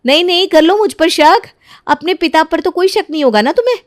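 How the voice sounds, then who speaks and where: A woman's voice: very high-pitched, lively intonation, brisk, voice pushed up. A woman voicing an insolent, scheming young daughter-in-law in a moral story, sarcastic and defiant in manner. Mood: indignant, accusing.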